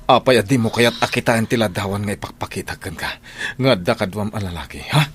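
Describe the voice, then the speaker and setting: An actor playing a hardworking, hesitant tricycle driver hurt by betrayal, with a Filipino Ilocano accent, in an emotional radio drama. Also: male voice, fairly low pitch, quick pace, husky. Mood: hurt, angry.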